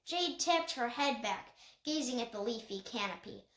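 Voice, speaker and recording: A woman's voice: a little high, lively intonation, normal speed, a bit bright. A woman reading a children's novel aloud as scripted narration, occasionally stumbling and correcting herself. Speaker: audiobook reader. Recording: echoey untreated room.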